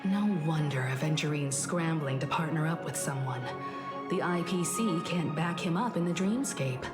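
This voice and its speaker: A woman playing a reserved young female adventurer in a video game. Her voice is low and calm, with loud music behind it. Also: normal speed, natural voice.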